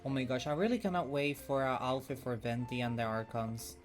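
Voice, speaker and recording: A man's voice: mid-range voice, a little fast. A man chatting casually as a game streamer, reacting aloud and talking with his viewers. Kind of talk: casual chat while playing. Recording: clear game music behind voice.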